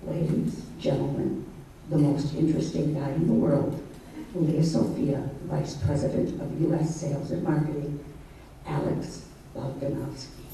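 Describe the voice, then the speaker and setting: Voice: medium pitch, level tone, a little slow. A presenter introducing a speaker on stage in a playful, teasing build-up. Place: large event hall. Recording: echoey hall, voice from far off, crowd noise.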